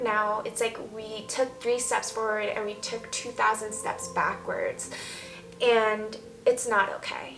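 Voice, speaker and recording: A woman talking casually and candidly as a vlogger, giving emphatic, opinionated views. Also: a little high. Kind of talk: casual opinion talk to camera. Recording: roomy echo, faint background music. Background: soft background music.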